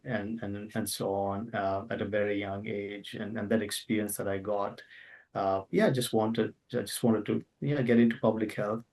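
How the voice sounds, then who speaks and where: A middle-aged man with a low, gravelly voice, talking a little away from his microphone. He answers an interviewer's questions, stopping often to restart and fill gaps. His delivery sounds like a remote interview guest. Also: distant mic. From Zambia. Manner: hesitant, many restarts and fillers.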